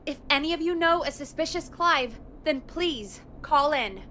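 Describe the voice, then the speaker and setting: An actress playing a brisk, friendly radio show producer in a video game, talking conversationally. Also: female voice, sits high, expressive, sharp tone, slight background noise, full character voice. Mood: composed.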